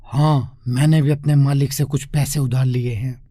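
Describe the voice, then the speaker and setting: Guy doing a worried poor village dad in a kids moral story, gentle, explaining, dry sound. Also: medium pitch, fairly even intonation, normal speed, no room sound, lightly acted. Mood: reassuring. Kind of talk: Hindi moral story dialogue.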